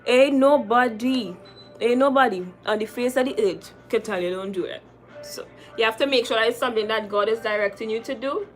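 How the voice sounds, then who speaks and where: A woman's voice: on the high side, fairly quick, faint music behind. A young adult woman with a Trinidadian accent, chatting casually on a livestream, reading viewer comments and repeating her phrases for emphasis. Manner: chatty and lively, repeating phrases, calling viewers girl and sis. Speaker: makeup artist hosting a livestream.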